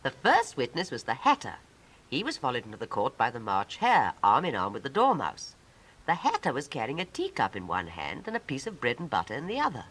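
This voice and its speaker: A woman's voice: extremely low pitch, huge pitch swings, a little fast, fairly bright tone, slightly rough, low-quality recording. A woman with a British Received Pronunciation accent reading scripted storybook narration in a 1950s style.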